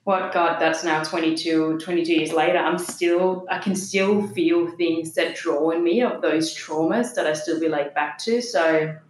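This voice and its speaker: A woman's voice: sits low, fairly even intonation, measured pace, few pauses, reverberant, slight background noise, low-quality recording. A woman in her thirties from Denmark with a light Danish accent, talking openly and reflectively as an interview guest, with many fillers. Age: 30s. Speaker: podcast guest. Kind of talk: personal interview talk.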